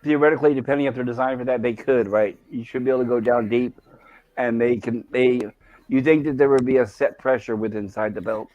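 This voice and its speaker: A man co-hosting an online review stream in casual fan discussion, talking haltingly and repeating words as he thinks. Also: mid-range voice, steady tone, darkish tone, dry recording. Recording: dull lo-fi stream audio, dry.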